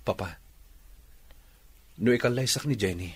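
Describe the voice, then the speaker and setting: A man's voice: fairly low pitch, unhurried, slightly rough. A man acting an earnest young son with an Ilocano Filipino accent in a radio drama. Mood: earnest, pleading. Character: earnest playboy son pleading with his father.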